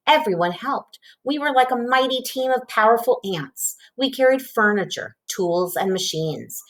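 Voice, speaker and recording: A woman narrates a children's storybook aloud. Her voice sits at a middle pitch and moves expressively up and down, in the manner of someone reading to young listeners. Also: low-quality recording. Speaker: storybook reader.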